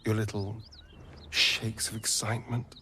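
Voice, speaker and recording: An actor playing a flirtatious, self-indulgent vampire rogue in a video game, with an arch, theatrical drawl. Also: male voice, lowish voice, flat delivery, a little slow, sharp tone, slight background noise. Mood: seductive. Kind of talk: video game character acting.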